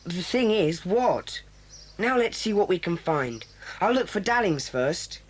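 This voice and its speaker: A woman voicing a decisive boy who leads a children's club in an audio drama, briskly taking charge. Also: fairly low pitch, very animated, slightly rough, full character voice.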